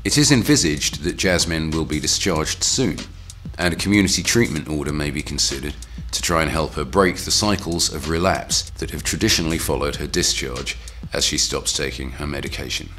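Very deep-voiced male narrator. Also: normal speed, hardly any pauses, a bit bright, faint music behind. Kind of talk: scripted narration.

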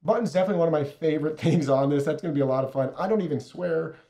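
A man's voice: fairly high pitch, racing, vocal fry, noisy background. A man in his thirties giving a casual, enthusiastic product review to camera, addressing viewers directly. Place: small plain indoor studio, seated at a desk.

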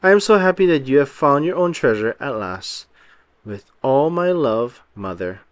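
A man reading as a loving, measured storybook mother. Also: mid-range voice, lively intonation, no echo, natural voice. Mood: affectionate. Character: loving mother writing to her daughter.

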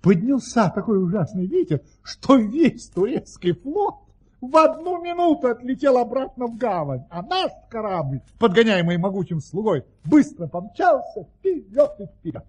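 An actor playing a grand, cheerful baron telling tall tales in a courtly, theatrical radio play manner. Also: male voice, extremely high pitch, sing-song, moderate pace, breathy edge, full character voice. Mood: grand, animated. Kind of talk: Soviet radio play acting. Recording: dull lo-fi radio play recording.

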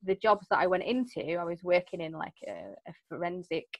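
A woman's voice: lowish voice, lively intonation, brisk, no room sound. British woman guest, 30s, wry and casual, dry close sound. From United Kingdom. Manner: self-deprecating, jokey, loose and rambling. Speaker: guest, security CEO. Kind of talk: casual interview. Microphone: video call.

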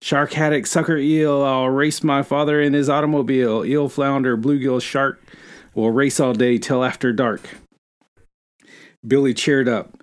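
A man's voice: mid-range voice, steady tone, unhurried, clear, resonant tone. A man co-hosting a podcast, retelling a book and reading from it aloud. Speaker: podcast co-host.

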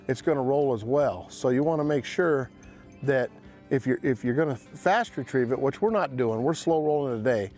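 A middle-aged man hosting a fishing show, explaining tackle in a folksy way from a bass boat on an open lake. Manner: folksy, excited when landing fish. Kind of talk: outdoor fishing instruction.

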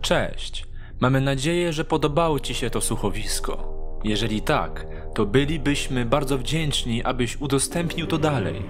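A man's voice: mid-range voice, normal speed, soft background music. A man narrating in a scripted, dramatic storytelling style.

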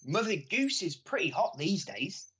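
A woman's voice: medium pitch, very animated, unhurried, a bit bright, a little hiss or hum. A woman playing a cheery village bailiff with chummy comic patter in a radio pantomime. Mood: jovial. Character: cheery joking village bailiff and Morris man.

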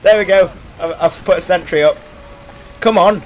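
A young man giving casual, excitable, rambling and self-deprecating commentary while playing a video game. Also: sits high, normal speed, soft background music. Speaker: gaming video host. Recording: noisy game audio behind the voice.

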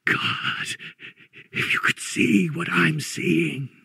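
A man's voice: fairly high pitch, sing-song, drawn-out words, bright, forceful tone, no room sound, heavily acted. A man voicing a terrified man in a gothic horror story, pleading frantically and despairingly in a shaky, strained voice. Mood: horrified whisper. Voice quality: shaky, strained, breathy cries. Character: terrified man crying warnings from deep inside a crypt.

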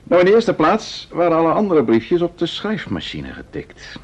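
Courteous detective, very animated pitch. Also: male voice, full character voice. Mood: reasoning. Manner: measured, polite, deliberate. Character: composed, courteous, shrewd male detective. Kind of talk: old Dutch radio play acting. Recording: muffled vintage radio recording.

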